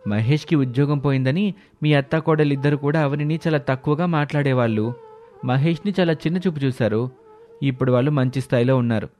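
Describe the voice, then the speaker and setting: Man as matter-of-fact, resentful son and father, animated family drama, mid pitch, faint music. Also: a little fast, natural voice. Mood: reproachful.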